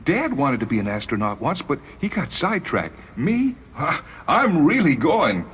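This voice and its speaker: A man playing an excited, starry-eyed boy who dreams of being a spaceman, speaking breathlessly and insistently. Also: a little high, unhurried, husky, full character voice. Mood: boastful glee. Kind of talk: dramatized short story acting. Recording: noisy vintage radio drama recording.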